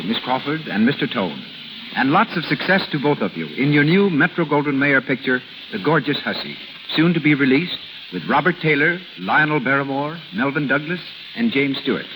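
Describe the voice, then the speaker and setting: A man's voice: fairly high pitch, background noise. A man with an American accent working as a radio announcer, reading a script formally in 1930s broadcast style.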